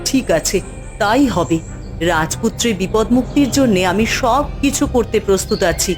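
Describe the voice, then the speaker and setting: Actress as anxious fairy-tale queen, cartoon, raised pitch, earnest, formal, devoted mother. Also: expressive, moderate pace, music in the background, close to an everyday voice. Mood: anxious, resolute.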